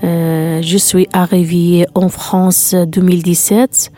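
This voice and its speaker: A woman's voice: on the low side, level tone, slow, mellow. A woman in her fifties from Algeria, introducing herself with an Algerian accent. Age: 50s. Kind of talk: self-introduction.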